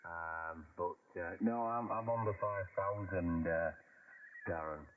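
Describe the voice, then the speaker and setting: A man chatting casually over amateur radio, halting and hesitant with many uhs. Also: sits low, a little hiss or hum. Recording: narrow phone-like radio audio.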